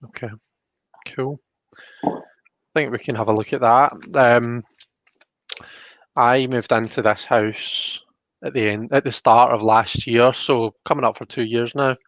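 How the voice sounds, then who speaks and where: A man's voice: medium pitch, fairly even intonation, unhurried, long pauses, a bit bright, no room sound, muffled recording. A man hosting a casual livestreamed tarot reading, explaining things in an informal, hesitant, chatty way. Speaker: host.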